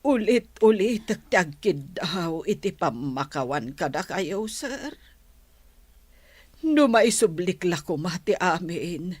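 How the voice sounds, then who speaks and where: A woman acting in a radio drama as a remorseful older grandmother confessing a secret, halting and pleading. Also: on the low side, dry recording.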